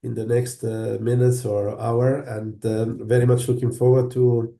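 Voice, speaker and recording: Italian guy on a video call, mellow dark voice, echoey room, lots of uhs. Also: medium pitch, level tone, gravelly. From northern Italy. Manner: measured, many filler uhs. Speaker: guest, business school professor. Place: home in London.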